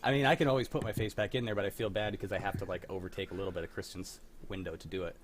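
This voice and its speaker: A man's voice: deep voice, very fast, a little hiss or hum. A young man hosting a live online script reading over a video call, rambling and correcting himself as he goes. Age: young adult. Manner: relaxed, rambling, self-correcting. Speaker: host and sound designer of a live script-reading stream. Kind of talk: casual chat on a livestream.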